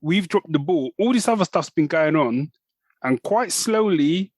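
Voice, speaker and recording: A man's voice: a little high, expressive, unhurried, no echo. A British man co-hosting a podcast in casual chat, talking loosely and laughing often. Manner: chatty, laughing, lots of "you know what I mean". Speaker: podcast co-host.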